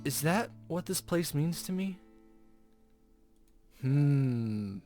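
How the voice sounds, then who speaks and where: A man's voice: a little high, animated, very slow, mellow. A man hosting a let's play, chatting casually with rambling asides and reading game text aloud. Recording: music playing behind the voice. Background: video game background music.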